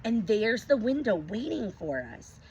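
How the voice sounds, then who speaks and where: A woman teacher reading a picture book aloud to young children, expressive, stopping to ask them questions. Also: fairly high pitch, unhurried, muffled recording, a little hiss or hum.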